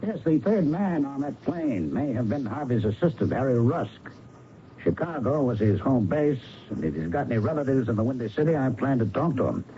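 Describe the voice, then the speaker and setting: Persistent, courteous male investigator played by a man, animated pitch, old radio drama on a dull, noisy recording. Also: a little fast, lightly acted. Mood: businesslike. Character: polite, persistent male investigator, probing and suspicious.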